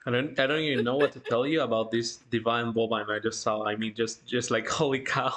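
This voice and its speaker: Livestream host, mid-range voice, casual and giggly, talks in halting bursts while reading chat. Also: mid-range voice, fairly even intonation, a bit bright. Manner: giggly, halting, breaks into laughter often.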